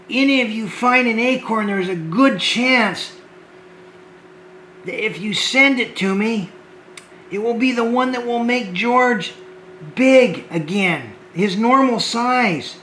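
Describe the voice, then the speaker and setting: A man with a fairly high voice speaks slowly and gently to young viewers, stumbling and repeating his words as he goes. The room is echoey, with a faint hum of ambience behind him. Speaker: children's show host. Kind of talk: talking to children on a homemade show.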